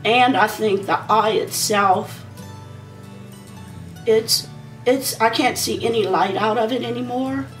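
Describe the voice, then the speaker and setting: A woman in her forties, a beauty vlogger, chatting casually and rambling while she does her makeup, her voice a bit hoarse from a sinus issue. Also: fairly high pitch, slow, pauses often, recorded from a distance, soft background music. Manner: rambling and conversational, talking while applying makeup.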